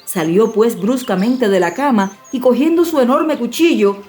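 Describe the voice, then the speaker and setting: A woman narrates a children's fairy tale in a slightly high voice. Her storytelling is expressive and measured, with light music playing behind her.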